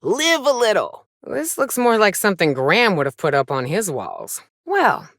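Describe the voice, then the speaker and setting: A tough female trailblazer from a space frontier video game. Her delivery is sardonic and folksy, with pitch that moves around a lot. The sound is dry and close, and she talks in short, salty remarks like a seasoned companion on the trail. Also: wildly swooping pitch, moderate pace, fairly bright tone, dry recording, exaggerated voice. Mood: dry, playful. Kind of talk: video game voice acting, short reactive lines.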